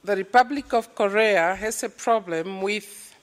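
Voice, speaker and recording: A woman chairing a formal committee session, calling items for adoption and asking for objections. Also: mid-range voice. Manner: slow, procedural, calling for objections. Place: large conference hall. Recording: long reverb of a large hall.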